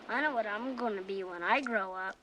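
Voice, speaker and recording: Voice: mid-range voice, fairly quick, sharp tone, slight background noise, lightly acted, poor audio. A child actor playing a curious, earnest young boy in scripted television family dialogue, plainspoken and inquisitive. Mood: eager, playful. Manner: inquisitive, plainspoken, matter-of-fact.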